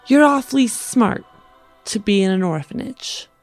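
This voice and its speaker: A woman's voice: on the high side, expressive, moderate pace, faint music behind. A woman playing a tabletop game, improvising dialogue in character.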